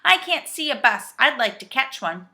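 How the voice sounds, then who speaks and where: A woman voicing an excitable, anxious, hard-of-hearing old man from a children's storybook, with loud exclamations and puzzled questions. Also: sits high, moderate pace, voice pushed up, full character voice. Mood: puzzled, calling back.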